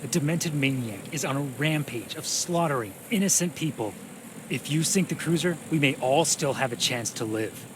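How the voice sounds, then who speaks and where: A man acting as a bitter, desperate game soldier, grim and commanding. His mid-pitched voice presses insistently, with clear noise around it. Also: expressive, moderate pace, lightly acted. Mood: urgent, commanding. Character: embittered wounded soldier, desperate, commanding, pleading for action. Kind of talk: dramatic video game voice acting.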